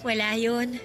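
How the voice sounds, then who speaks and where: A woman acts out an insecure, soft-hearted young workmate in a radio drama. Her voice is fairly high and bright, hesitant yet lightly teasing, over quiet background music. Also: full character voice. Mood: shy. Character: shy, insecure young female co-worker, easily amused. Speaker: drama voice actor.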